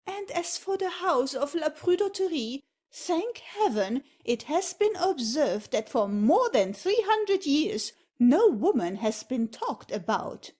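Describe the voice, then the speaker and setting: A woman's voice: high voice, very animated, slow pace, hardly any pauses, a little mellow, exaggerated voice. An actress playing a haughty noblewoman and strict mother-in-law in a theatrical comedy audio play, imperious and grandly declamatory. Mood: affronted.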